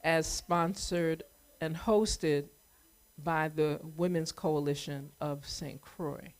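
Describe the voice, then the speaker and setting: Slow, deliberate woman addressing a gathering. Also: low-pitched, fairly even intonation, a little mellow. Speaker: community event host. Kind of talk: public remembrance address.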